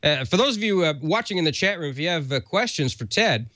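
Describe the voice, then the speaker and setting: A man hosts a panel in a casual way, his pitch moving in a lively range. His phrasing is halting and stretched out, and the sound is dry, with no room echo. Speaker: show host. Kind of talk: casual hosting, moderating a panel.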